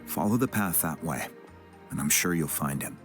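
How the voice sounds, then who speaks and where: A calm game tribesman with a very low voice. He explains things in a measured, reassuring manner over soft background music. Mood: calm, helpful. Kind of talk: English video game voice acting.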